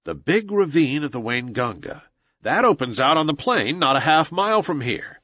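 Guy doing a keyed-up jungle boy barking orders, voice jumps up high, lo-fi dry audiobook sound. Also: sing-song, normal speed, a bit bright, husky, no room sound, full character voice. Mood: commanding. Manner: urgent, commanding, archaic speech. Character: eager jungle boy leading wolves.

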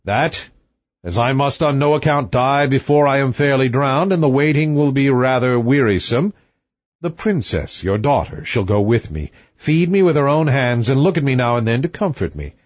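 A man voicing a calm, blunt young prince who speaks coolly, on a dull lo-fi recording. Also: mid-range voice, normal speed, dry recording, natural voice.

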